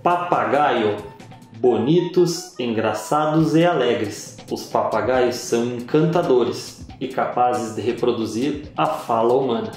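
Male narrator for a kids' animal video, slow and measured, very echoey voice-over, soft music behind. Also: mid-range voice. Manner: slow, measured reading with pauses. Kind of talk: scripted educational narration.

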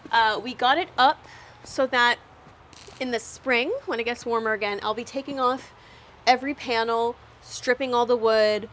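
A woman's voice: on the high side, a bit bright, a little hiss or hum. A young woman from Canada giving a chatty, upbeat walking tour of her snowy backyard garden, talking into a lapel mic outdoors and laughing often. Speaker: garden vlogger.

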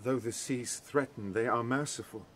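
Man acting earnest young prince, mid-pitched. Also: moderate pace, a bit bright, lightly acted. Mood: devoted, earnest. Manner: earnest, formal. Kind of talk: Shakespearean audio drama acting. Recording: clear background noise, ambience.

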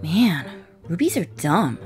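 A woman's voice: deep voice, sing-song, slow, a little mellow, soft background music, exaggerated voice. A woman voicing a brash, sarcastic young alien woman with a blunt, mocking manner in a cartoon. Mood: scornful.

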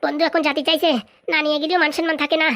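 Woman voicing a frantic, high-pitched young cartoon schemer. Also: flat delivery, very fast, fairly bright tone. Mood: worried, fretful. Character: harried young man, scheming, pleading lover and son. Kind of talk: comic cartoon dialogue.

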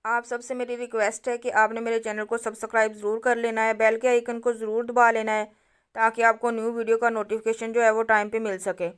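A woman, narrating a home cooking recipe step by step and speaking directly to her viewers in a calm, instructive way. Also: a little high, brisk, clean tone. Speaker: cooking channel host.

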